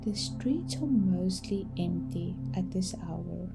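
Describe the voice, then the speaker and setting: A woman storyteller narrating a bedtime meditation story in a soft, gentle, calming and unhurried way, with pauses. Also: lowish voice, creaky. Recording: music behind the voice.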